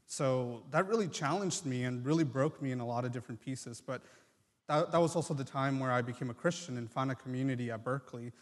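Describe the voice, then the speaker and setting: Male guest speaker, 20s, Triqui first language, medium pitch, sincere hesitant testimony in a reverberant hall. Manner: halting, earnest. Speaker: guest speaker, medical student. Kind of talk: personal testimony to congregation. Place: large church room, live address.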